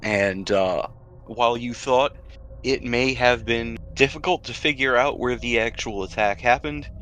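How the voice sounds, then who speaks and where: A man's voice: mid-range voice, unhurried, a bit bright, slight background noise. A man narrating a tabletop role-playing game as game master, describing scenes with casual asides and small hesitations.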